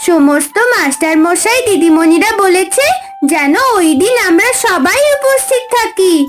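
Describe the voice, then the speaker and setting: Woman as little cartoon schoolgirl, very high, slow, lively intonation, polite, innocent. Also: over music. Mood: curious. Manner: polite, simple, childlike. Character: eager, innocent young schoolgirl. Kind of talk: Bengali children's cartoon story.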